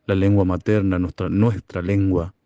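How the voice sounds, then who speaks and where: A man from Chaco, a community broadcaster giving slow, halting, solemn testimony. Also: low voice, flat delivery, warm tone, dry recording, muffled recording. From Chaco, Argentina. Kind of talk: recorded testimony.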